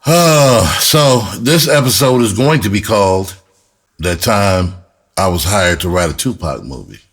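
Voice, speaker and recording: A middle-aged man hosts in a low, deep register. He speaks slowly and reflectively, sharing personal stories in a candid, casual way. His wording is streetwise and peppered with swearing. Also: lively intonation, fairly bright tone, slightly rough. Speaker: podcast host and music artist.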